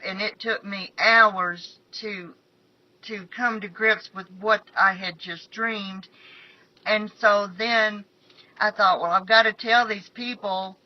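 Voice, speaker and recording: Slightly raspy woman, dull phone recording. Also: mid-range voice, no echo. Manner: halting, repeats phrases emphatically. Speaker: channel host. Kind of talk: personal storytelling, recounting a prophetic dream.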